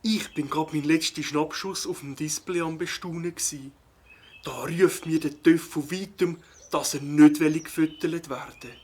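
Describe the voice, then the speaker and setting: Man acting a husky Swiss German bird-watcher. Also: fairly high pitch, fairly even intonation, a little slow, darkish tone. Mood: explaining. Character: mild, puzzled male bird-watcher, absorbed in his hobby. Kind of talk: children's audio play acting.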